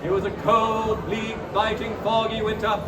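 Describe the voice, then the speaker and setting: High-pitched male storyteller in echoey crowded hall. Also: level tone, normal speed. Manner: theatrical, savouring phrases. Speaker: storyteller, live reader. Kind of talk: theatrical dramatic reading of a classic story. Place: live performance at a busy indoor fair. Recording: echoey crowded fair hall, distant noisy mic. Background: crowd noise.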